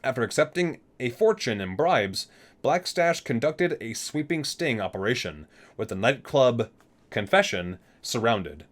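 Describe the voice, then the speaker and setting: A man's voice: mid-range voice, sing-song, unhurried. A man reading aloud dramatically as a video creator, drawing out titles and names and dropping in casual asides.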